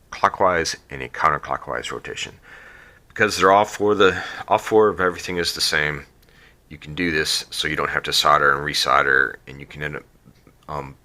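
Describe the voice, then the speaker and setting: A man with a cold talks through a hobby drone build at his workbench, his voice very low and gravelly yet with a bright, forceful edge. He sounds sniffly and croaky, rambling casually with frequent ums and half-finished restarts. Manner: rambling, many ums and restarts, trails off quietly. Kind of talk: casual how-to build video.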